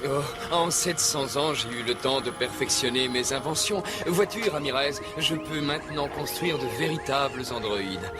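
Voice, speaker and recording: An actor voicing a proud, composed, centuries-old master inventor in a dubbed cartoon, speaking formally and with measure. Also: male voice, medium pitch, normal speed, few pauses, lightly acted. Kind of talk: French-dubbed 1990s cartoon acting. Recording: loud music behind the voice.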